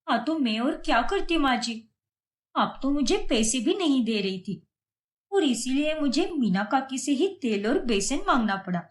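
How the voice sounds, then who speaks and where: A woman's voice: high-pitched, expressive, normal speed. A woman voicing a whiny, food-loving young Indian daughter-in-law in a Hindi cartoon story, pleading and dramatic. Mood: defensive, meek. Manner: pleading, dramatic, self-pitying.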